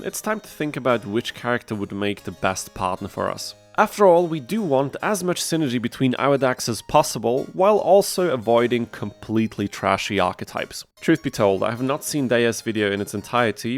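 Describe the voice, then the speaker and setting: A man giving a scripted, wry and dryly sarcastic narration for a gaming video. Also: fairly low pitch. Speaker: video creator and host.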